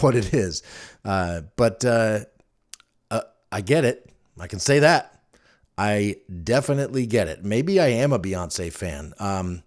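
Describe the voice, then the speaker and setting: A man's voice: medium pitch, darkish tone. A middle-aged man hosting a podcast, giving casual recommendations in a rambling, conversational way. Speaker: podcast host. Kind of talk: casual solo recommendations chat.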